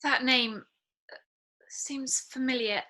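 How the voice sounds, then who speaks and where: A woman playing an anxious, bewildered character with a hesitant, halting delivery in a scripted drama read over a video call. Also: sits high, steady tone, pushed and bright, heavily acted. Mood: confused, apologetic. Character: anxious, bewildered woman whose life has fallen apart.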